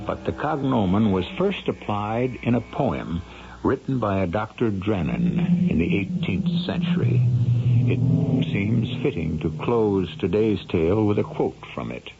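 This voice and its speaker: A man hosting a 1970s radio drama, giving measured, theatrical scripted narration with an ominous air. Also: deep voice, creaky. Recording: dull vintage radio broadcast, music behind. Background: music.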